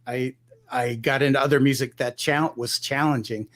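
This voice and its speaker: A man's voice: medium pitch, fairly even intonation, normal speed, a bit bright. A middle-aged man from Michigan, a guitarist guest in an interview, talking in a loose, rambling way with many restarts. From Michigan, United States. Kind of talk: relaxed conversational interview.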